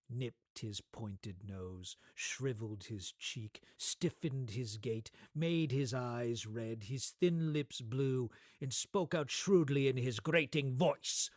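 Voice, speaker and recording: Male narrator for audio drama: theatrical, deliberate phrasing, animated melody, dry close studio sound. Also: medium pitch, no echo. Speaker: narrator, actor.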